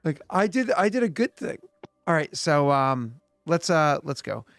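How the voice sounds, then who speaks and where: A man's voice: fairly high pitch, sing-song, measured pace, gravelly, fairly bright tone, no room sound, clean studio sound, faint music behind. A man in his late thirties, the game master, chatting and explaining rules at length with many restarts. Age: late 30s. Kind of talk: casual chat and game narration.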